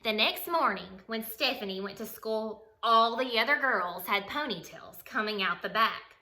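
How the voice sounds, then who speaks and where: A woman reading a children's picture book aloud as a bedtime story, in an expressive, dramatic way. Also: fairly high pitch, normal speed. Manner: expressive, dramatic, acts out dialogue. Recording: roomy, echoey sound.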